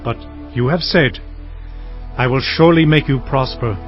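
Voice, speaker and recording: Male actor as humble patriarch, solemn, measured delivery, mid-pitched, scripture audio drama, music behind, dull sound. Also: close to an everyday voice. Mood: anxious, pleading. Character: earnest, humble herdsman patriarch fearing his brother.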